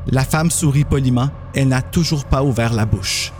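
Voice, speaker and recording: A man's voice: mid-range voice, normal speed, darkish tone, a little hiss or hum. A man reading scripted story narration. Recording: music clearly under the narration.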